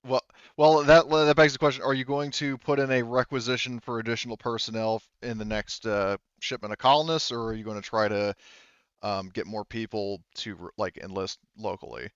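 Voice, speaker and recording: A man's voice: medium pitch, fairly even intonation, fairly quick, smooth, no echo, muffled recording. A man acting as game master, explaining the game world to players in a hesitant, explanatory way. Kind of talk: improvised tabletop game narration and explanation.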